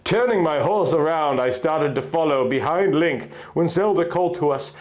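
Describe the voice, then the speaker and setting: A man's voice: on the high side, animated, normal speed, darkish tone. A man narrating a piece of fan fiction in a theatrical, exaggerated way. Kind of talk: dramatic reading of fan fiction.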